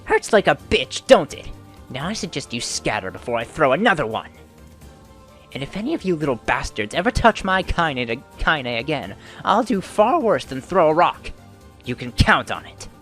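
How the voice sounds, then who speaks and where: A man voicing a fierce, foul-mouthed tiny old grandmother who scolds loudly and threatens roughly. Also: rumbling low, big pitch swings, moderate pace, soft background music, voice pushed up, heavily acted. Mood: fierce scolding. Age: older.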